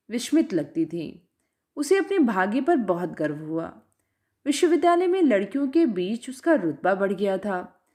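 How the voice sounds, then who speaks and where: Expressive woman reading a literary story. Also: a little high. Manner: measured, expressive reading with phrase-final drops.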